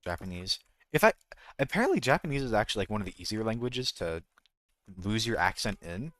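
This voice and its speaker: A man's voice: fairly low pitch, sing-song, a little fast, a bit bright, no echo. A man with an American accent chatting casually as a game streamer, rambling and jokey, often correcting himself mid-thought. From United States. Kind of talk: casual livestream chat.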